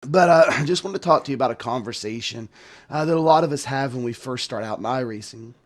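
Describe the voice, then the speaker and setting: Man, hoarse, sore throat, channel host, casual rambling talk, mid pitch. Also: fast. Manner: rambling, with many restarts. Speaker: sim racing channel host.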